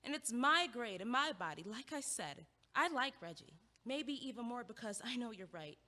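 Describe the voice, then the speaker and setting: A woman playing a sharp, guarded, quick-witted young college student in a naturalistic comedic audio play. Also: a little high, lively intonation. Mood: guarded, insistent. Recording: echoey hallway-like reverb.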